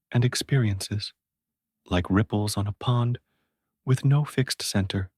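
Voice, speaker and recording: A man narrates a guided sleep meditation in a fairly deep voice. His delivery is calming and measured, with a soft husk to the tone. The sound is dry, with very little room around it. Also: husky, no echo. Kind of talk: slow guided sleep meditation and bedtime storytelling.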